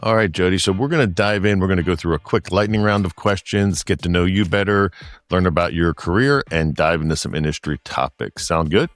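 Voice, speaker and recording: A middle-aged man co-hosting a podcast, asking brisk interview questions. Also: low-pitched, dry recording. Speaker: podcast co-host. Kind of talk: interview, lightning-round questions.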